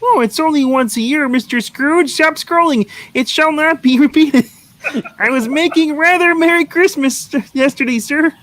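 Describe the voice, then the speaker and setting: A woman's voice: high-pitched, normal speed, fairly bright tone, no room sound. A woman voicing a wisecracking puppet who plays an apologetic clerk, with sarcastic muttered asides. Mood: apologetic, cheeky.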